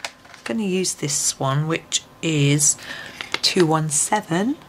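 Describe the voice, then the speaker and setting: A woman with a lowish, slightly croaky voice talks through her colouring step by step. She speaks softly, often sinking to a near whisper as she works. A faint hiss of room ambience sits behind her. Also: slow, poor audio. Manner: soft-spoken, often drops to a near whisper. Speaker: colouring tutor. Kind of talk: relaxed step-by-step tutorial. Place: home desk, filmed from above.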